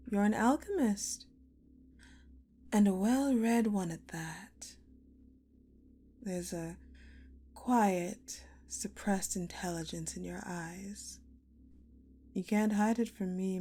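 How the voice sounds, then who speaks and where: A woman playing a cold, sadistic female general in a dark audio roleplay, speaking deliberately with mocking condescension and dramatic pauses. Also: medium pitch, breathy edge. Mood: silky menace. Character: sadistic, coldly menacing ice-queen general, commanding and mockingly sweet. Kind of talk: dark audio roleplay acting, addressed to the listener.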